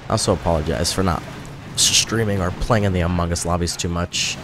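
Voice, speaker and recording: A man's voice: sits low, fairly even intonation, a little fast, background noise. A man giving casual, rambling commentary over his gameplay video, sounding a little nasal from a cold. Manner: rambling, self-correcting, chatty. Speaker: gaming YouTuber. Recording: music playing behind.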